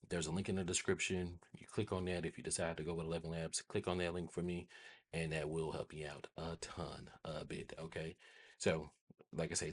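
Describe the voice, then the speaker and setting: Deep voiced american guy doing a casual how-to, kinda husky, rambles and checks in a lot. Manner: informal, rambling, repeats check-in tags like "right?" and "okay?". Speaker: host of a tech tutorial channel. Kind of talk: casual software tutorial, talking to viewers.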